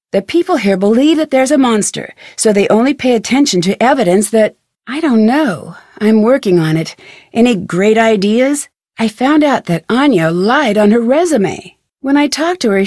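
A woman's voice: fairly high pitch, expressive, moderate pace, darkish tone, no echo, studio quality. A young woman voicing a friendly, curious, plucky amateur detective in a video game, with earnest, conversational delivery. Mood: thoughtful.

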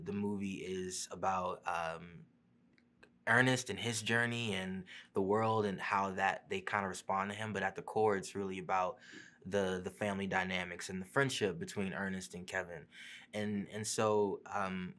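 A young man, an actor, answering interview questions casually with fillers and restarts, seated in a studio. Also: sits low. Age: young adult. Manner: relaxed, many fillers and restarts. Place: studio seat before a printed backdrop.

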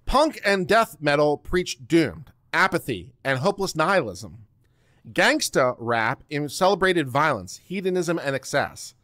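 A middle-aged man giving a theatrical, deliberate dramatic reading of a written text, close on the microphone. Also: on the high side, sing-song, fairly bright tone, no room sound. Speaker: host reading a document aloud. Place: small home studio room. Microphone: close microphone on a boom arm.

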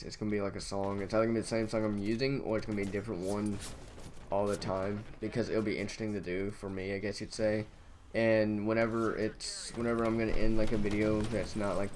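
A young man hosting a gaming channel, talking in a flat, monotone voice and rambling with lots of fillers over gameplay. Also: fairly low pitch, a little hiss or hum. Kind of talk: casual gameplay commentary.

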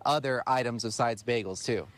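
An enthusiastic male TV reporter interviewing a vendor outdoors. His voice sits at a middle pitch, and he talks into a handheld mic. He compliments the food and asks lively, leading questions. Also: moderate pace. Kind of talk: live TV interview. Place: outdoor park by the ocean.

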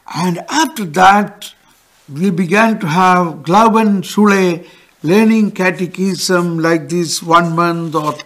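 Slow, high-voiced older man teaching. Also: a bit bright. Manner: gestures broadly while explaining, pauses for interpreter. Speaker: priest teaching catechism. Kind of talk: catechism lesson with interpreter. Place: studio with backdrop.

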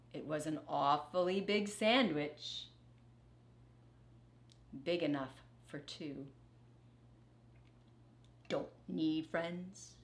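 A middle-aged woman reads a children's story aloud with dramatic, teacherly phrasing. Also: on the low side. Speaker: storybook reader. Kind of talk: expressive children's read-aloud. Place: living room, on a couch. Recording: echoey living room.

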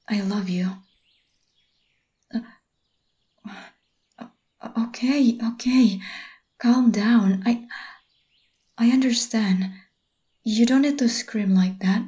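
Woman doing a clingy obsessive boyfriend, breathy whisper, slow and mellow, stammering and begging. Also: medium pitch, lightly acted. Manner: stammering, pleading. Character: clingy, obsessive young boyfriend, desperate and pleading. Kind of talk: whispered ASMR roleplay.

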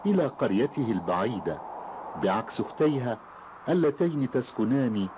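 A man's voice: mid-range voice, expressive, normal speed, natural voice. A man narrating a children's cartoon tale in formal Arabic as a solemn storyteller. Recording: noisy, hissy old cartoon soundtrack.

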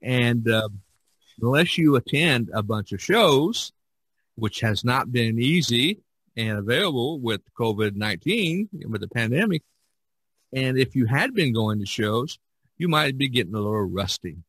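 An American man hosting a podcast casually, often pausing in the middle of his phrases. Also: mid-range voice, animated, fairly bright tone, husky, no echo. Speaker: podcast host.

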